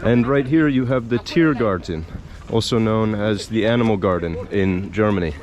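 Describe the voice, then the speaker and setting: A man's voice: fairly low pitch, normal speed, muffled recording, a crowd in the background. A man narrating a casual travel vlog, with faint outdoor city sound behind him. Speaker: travel vlogger.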